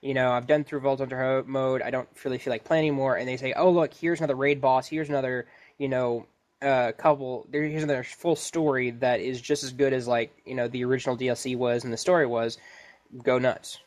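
Man, medium pitch, casual podcast co-host, halting, wandering delivery. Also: steady tone. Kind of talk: casual gaming podcast chat.

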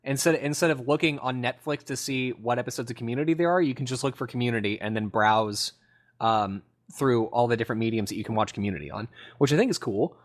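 A man's voice: mid-range voice, fast. A man co-hosting a podcast in a casual, conversational and somewhat hesitant way. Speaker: podcast co-host. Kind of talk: casual chat.

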